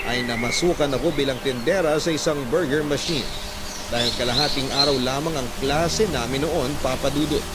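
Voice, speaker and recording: A man reading a dramatic first-person confession as scripted narration, in an earnest, confessional manner. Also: fairly high pitch. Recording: music bed behind the voice. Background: loud background music.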